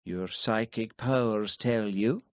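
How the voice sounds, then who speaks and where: A man's voice: fairly low pitch, slow pace, no echo, studio quality, pitched above the performer's own voice. A man voicing a wary, guarded village policeman in an audiobook reading.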